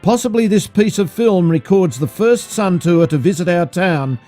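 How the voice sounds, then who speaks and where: A man's voice: sits high, lively intonation, moderate pace, mellow. A man with an Australian accent reading scripted narration in the style of an old cinema newsreel. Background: music.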